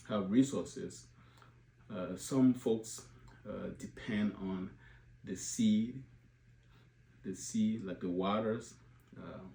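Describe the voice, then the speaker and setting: A man, addressing his organization's supporters in an unscripted talk, pausing often with filler sounds and restarts. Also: deep voice, creaky, warm tone. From Liberia. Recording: roomy echo, faint background hum.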